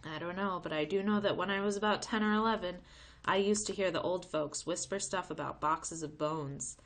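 A woman reading the part of a folksy, gently firm grandmother in a children's storybook. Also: on the low side, normal speed, natural voice. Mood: uneasy. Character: warm, weary grandmother telling of old times.

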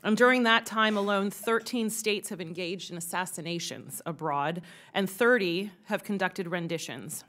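A woman in her forties giving earnest, measured prepared testimony as an expert witness, into a desk microphone in a large congressional hearing room. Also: medium pitch, fairly bright tone.

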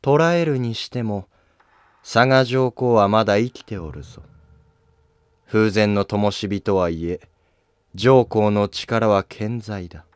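Man voicing an imperious, slow-speaking older empress dowager. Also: lowish voice, clear, resonant tone, dry recording, studio quality. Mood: wary, imperious. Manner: curt, commanding, archaic speech.

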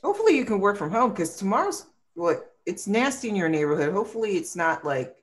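A woman co-hosting a casual panel chat on a video call, talking in a playful, animated way. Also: mid-range voice, fast. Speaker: co-host. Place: room at home.

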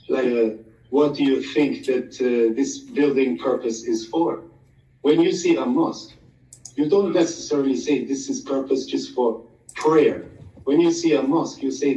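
A man delivers a slow, flowery speech like a sermon in a mellow tone. He sounds far from the mic, in an echoey room, and the audio is dull and lo-fi. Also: mid-range voice. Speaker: debate guest. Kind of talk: prepared speech in a debate.

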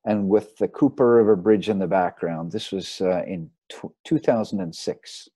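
A man, a sailing photographer, giving a relaxed, rambling slideshow talk over a video call. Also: deep voice, moderate pace, no room sound. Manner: rambling, relaxed, with fillers and restarts. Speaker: marine photographer giving a lecture. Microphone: video call mic.

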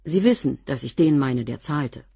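A woman's voice: a bass voice, lively intonation, normal speed, creaky, warm tone, husky, no echo, heavily acted. An actress playing a blunt, guarded cleaning woman in a radio drama, answering in a dry, slightly defiant way. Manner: dry, curt, a bit defiant.

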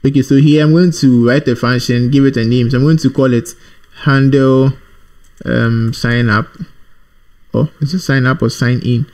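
A man presenting an informal programming screencast, thinking aloud in a halting, repetitive way as he types. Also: medium pitch, fairly even intonation, a little mellow. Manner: thinking aloud while typing, halting, repeats himself. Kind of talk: informal screencast coding tutorial.

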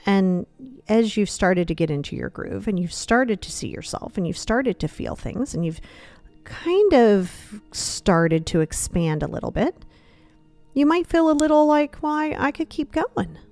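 A woman leading a guided meditation, speaking in a soothing, gently conversational way. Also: mid-range voice, big pitch swings, unhurried, dry recording, soft background music.

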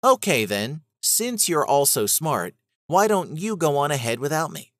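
A man voicing a stubborn, easily annoyed young bear in an animated, defensive children's storybook style. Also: fairly high pitch, a little slow, dry recording, studio quality, voice pushed up.

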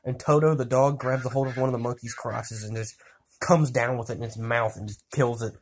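A man telling an improvised story to friends in a casual, crude and excitable way, repeating himself. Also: mid-range voice, brisk. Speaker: amateur storyteller. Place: indoors at home. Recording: noisy amateur handheld recording, clicks.

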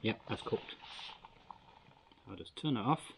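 Older male outdoor vlogger, lowish voice, easygoing chat while eating, faint outdoor background. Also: unhurried, creaky, background noise. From Australia. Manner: chatty and relaxed, talks while eating. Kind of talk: casual camp-cooking review. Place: outdoors in bushland at a picnic table. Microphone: camera across the table.

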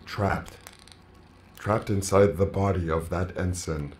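An actor playing a grave alien colony that speaks through a man's body, solemn and measured, in an audio drama. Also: low-pitched, fairly even intonation, a little mellow. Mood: grave, accusing. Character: ancient alien hive-mind plant colony speaking through a man, grave.

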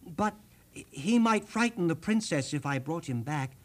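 A man voicing an earnest, kind young storybook prince full of wonder, with a gentle hesitant stammer. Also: fairly high pitch, lively intonation, unhurried. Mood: pondering, considerate.

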